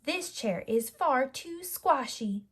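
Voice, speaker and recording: A woman's voice: high-pitched, animated, breathy edge, voice pushed up, exaggerated voice. A woman voicing a curious, picky little girl who exclaims in a children's storybook. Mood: picky, grumbly. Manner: drawn-out, exclaiming complaints.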